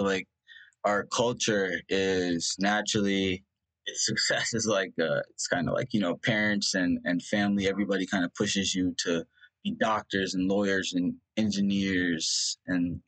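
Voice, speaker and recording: A man's voice: low-pitched, steady tone, normal speed, fairly bright tone, low-quality recording. A young man from India whose first language is Punjabi, a guest thinking aloud about his past in a rambling way, full of fillers and restarts. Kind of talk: reflective personal conversation.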